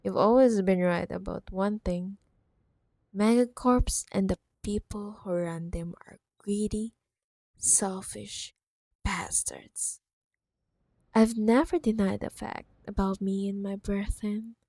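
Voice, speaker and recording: A woman's voice: mid-range voice, fairly even intonation, slow pace, dark tone, no room sound. A woman playing a possessive, menacing corporate CEO ex-girlfriend in a roleplay ASMR drama, mock-sweet and teasing, sometimes dropping to a whisper. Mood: cold, coaxing.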